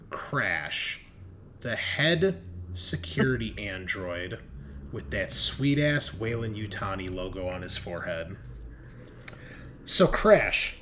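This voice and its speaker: A man with a lowish voice works as game master for a tabletop roleplaying session. He describes things conversationally and swears casually. There is faint noise behind him.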